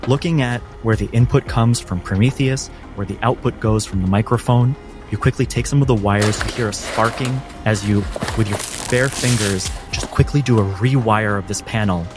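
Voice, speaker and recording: A man acting as game master, narrating a cinematic tabletop story in a measured, descriptive, instructive manner. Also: lowish voice, music in the background.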